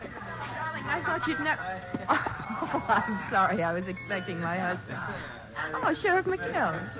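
A woman's voice: medium pitch, very animated, unhurried, over a noisy crowd. An actress playing a polite, fragile wife and mother, hesitant and emotional, in 1950s radio melodrama style. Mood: gracious. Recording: muffled, noisy 1950s radio recording.

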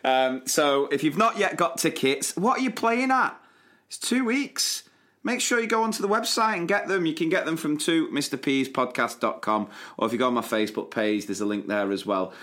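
A man co-hosting a podcast in relaxed casual chat, plugging tickets and asking after his co-host. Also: fairly high pitch, normal speed. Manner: relaxed, promotional plugs.

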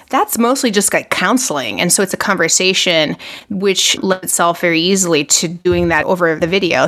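A woman physician from Argentina speaks in a loose, conversational way, leaning on "like" and "you know" and often starting her sentences over. Her voice sits a little on the low side for a woman. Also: a bit bright. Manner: chatty, heavy use of "like" and "you know", frequent restarts. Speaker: physician, podcast guest. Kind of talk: casual conversational interview.

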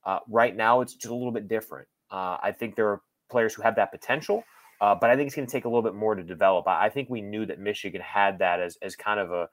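A man's voice: on the low side, fast talker, dry recording. A man working as a sports broadcaster, giving conversational analysis as a guest, explaining and qualifying his points with emphasis.

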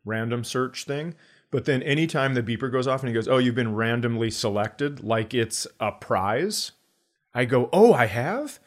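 A man's voice: mid-range voice, lively intonation, moderate pace. A man in casual comedy podcast banter, wry and sarcastic, swearing freely and acting out quips.

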